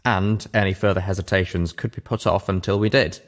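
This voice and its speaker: A man's voice: deep voice, fairly even intonation, a little fast. A man reading a scripted story aloud as its narrator. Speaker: audiobook reader, narrator.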